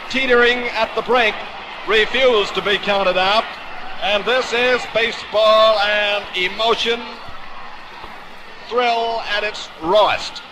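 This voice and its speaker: Male vintage baseball play-by-play caller, higher-pitched, theatrical wording, noisy old radio sound. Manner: dramatic, florid phrasing. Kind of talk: 1950s radio sports commentary. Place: outdoor ballpark broadcast position. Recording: noisy 1950s AM radio broadcast.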